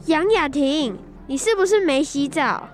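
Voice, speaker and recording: A man's voice: very high-pitched, lively intonation, measured pace, faint music behind. A young boy playing a teasing, rude schoolboy classmate in a radio drama. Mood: mocking. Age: child.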